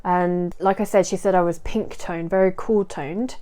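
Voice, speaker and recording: A woman's voice: lowish voice, steady tone, normal speed, dark tone. A woman hosting her own vlog, chatting to camera in a casual, explanatory way with frequent asides and self-corrections. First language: not Korean. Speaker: vlogger host.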